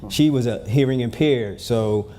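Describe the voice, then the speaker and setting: A man in his fifties from New Jersey, a guest telling stories in a casual interview, relaxed and given to repeating words. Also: mid-range voice, measured pace. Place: event room with a live audience.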